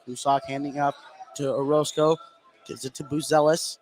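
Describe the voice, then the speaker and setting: A man doing live radio play-by-play of a basketball game, getting excitable on big plays. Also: fairly high pitch, moderate pace. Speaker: play-by-play commentator.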